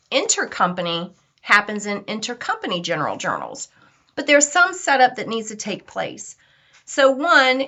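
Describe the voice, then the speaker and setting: Female software trainer, bright forceful voice, conversational step-by-step delivery, slightly dull lo-fi recording. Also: medium pitch. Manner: conversational, instructive. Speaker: software instructor. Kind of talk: informal step-by-step software tutorial.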